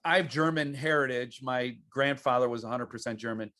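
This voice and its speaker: Brief: American male host, mid-pitched, chatty, self-deprecating, casual interview over a call. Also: a little fast, bright, forceful tone. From United States. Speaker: podcast host.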